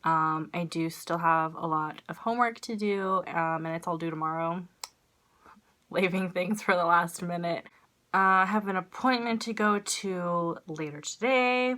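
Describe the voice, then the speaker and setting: A woman's voice: lowish voice, a little slow, sharp tone. A young woman in her twenties vlogging casually at home with a stuffy, congested nose, rambling with lots of fillers into a phone held at arm's length. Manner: rambling, self-deprecating, lots of "like" and "um". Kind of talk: casual chatty vlog. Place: small room indoors. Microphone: phone camera at arm's length.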